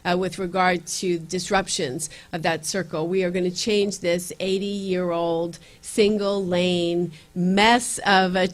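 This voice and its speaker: A county legislator, a woman, addresses a community meeting in a room and pauses often with fillers and restarts. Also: on the low side. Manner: earnest, with frequent fillers and restarts. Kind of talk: campaign speech at community meeting.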